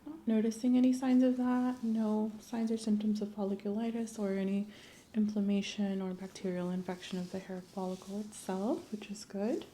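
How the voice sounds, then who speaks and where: Female ASMR examiner: soft and often whispered, dark relaxed tone, gentle clinical delivery, slight background noise. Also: mid-range voice, moderate pace, poor audio. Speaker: ASMR performer playing a medical examiner. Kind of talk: ASMR medical exam roleplay.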